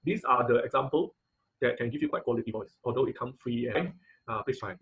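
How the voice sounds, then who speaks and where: A man teaching an informal online class and taking questions, speaking haltingly and often repeating phrases as he searches for words. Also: mid-range voice, fairly bright tone, rough recording. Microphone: online video-call audio.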